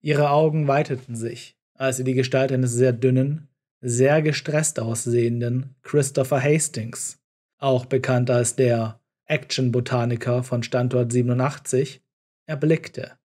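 Ein Mann liest als Erzähler eine Geschichte vom Skript. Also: male voice, mid-range voice, fairly even intonation, a little slow, dark tone. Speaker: narrator. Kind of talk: scripted story narration.

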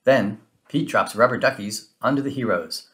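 A man reading a children's story aloud in an expressive, animated way with dramatic emphasis. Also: mid-range voice, moderate pace. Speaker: storybook reader. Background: music.

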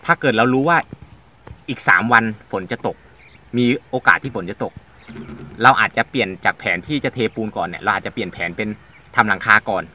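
A man in his thirties explaining things to camera in a polite, steady way, sitting outdoors on a tractor in farmland. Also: on the low side, brisk. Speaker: vlogger explaining a weather app. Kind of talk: casual instructional talk to camera.

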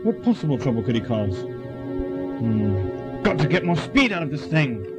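A man's voice: mid-range voice, big pitch swings, a little slow, loud music behind the voice. A man playing a flustered alien time-traveller in an audio drama, muttering to himself. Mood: flustered, exasperated. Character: flustered alien time-traveller hero trapped in another body. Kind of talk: sci-fi audio drama acting.

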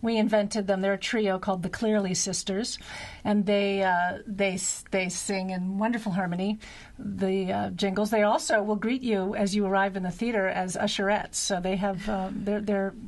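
A woman's voice: mid-range voice, little pitch movement, normal speed, low-quality recording. A middle-aged American woman, a theater director, chatting casually as a radio interview guest. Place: small radio studio. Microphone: studio broadcast microphone on boom arm.

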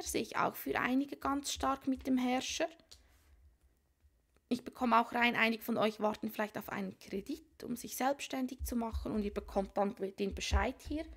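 A woman with a cold doing a casual tarot reading for viewers, musing aloud and often dropping to whispered asides. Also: a little high. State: has a cold. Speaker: tarot reader. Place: small room at a card table.

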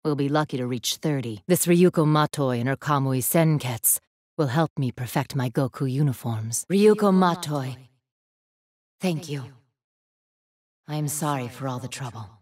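A woman's voice: rumbling low, normal speed, darkish tone, no room sound, clean studio sound. A woman playing an imperious young student council leader in an anime fighting game, commanding, haughty and formal with steely resolve. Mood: subdued.

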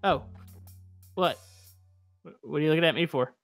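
A man's voice: fairly high pitch, normal speed, soft background music. A man bantering casually with friends while playing a video game. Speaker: gamer.

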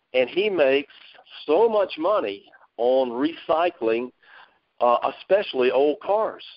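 A man's voice: mid-range voice, no room sound. A man from Mississippi giving a folksy motivational talk as a guest on a phone call, repeating phrases for emphasis. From Mississippi, United States. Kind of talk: folksy storytelling pep talk. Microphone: phone conference call. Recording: dull, dry phone line.

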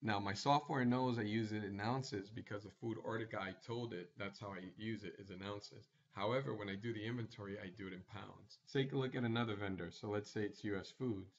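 A man presenting a screencast tutorial, walking through software setup step by step in a plain instructional manner. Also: on the low side, lo-fi sound.

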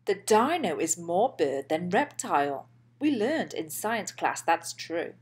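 A woman reads a picture book aloud for children with an animated, swooping delivery. She takes her time and stresses phrases deliberately. Her voice has a soft, breathy edge. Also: fairly low pitch. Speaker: host and children's storybook reader. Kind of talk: expressive read-aloud storytelling for children.

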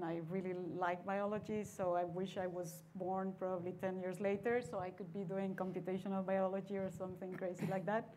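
A woman's voice: mid-range voice, a little hiss or hum, rough recording. Chatty woman from Mexico on a panel. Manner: chatty, laughs between phrases. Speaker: venture capitalist panelist. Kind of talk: panel discussion. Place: conference stage seated in armchair.

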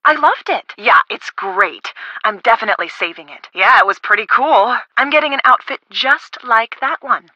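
A woman voicing a friendly young woman in a video game, casual, expressive and helpful. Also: on the high side, normal speed, few pauses, no echo, muffled recording. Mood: chatty, casual.

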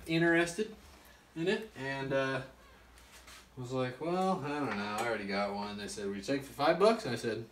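A man's voice: medium pitch, animated, normal speed, slight background noise. Joking man in an echoey room. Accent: American. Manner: joking, teasing. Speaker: co-host, husband. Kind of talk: casual banter while working. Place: unfinished laundry room in a farmhouse. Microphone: phone camera.

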